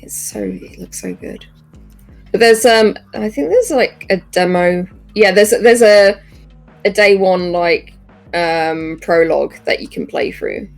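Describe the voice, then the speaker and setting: A woman co-hosting a podcast, chatting casually about games in a hesitant, halting way. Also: mid-range voice, very animated, faint music behind. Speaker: podcast co-host.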